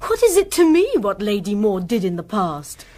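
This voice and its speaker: An actress playing a proud, guarded rector's wife in a Victorian radio drama, speaking formally. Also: female voice, mid-range voice, wildly swooping pitch, moderate pace, a little mellow. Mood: indignant, defensive. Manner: formal, crisp-worded, defensive. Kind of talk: period radio drama acting.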